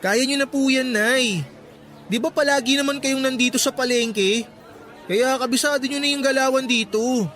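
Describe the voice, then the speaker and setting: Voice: high-pitched, steady tone, moderate pace, fairly bright tone. An actor playing a resentful, whining young son who talks back to his mother in a Tagalog radio drama. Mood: reluctant, coaxing. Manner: complaining, talks back, sulky.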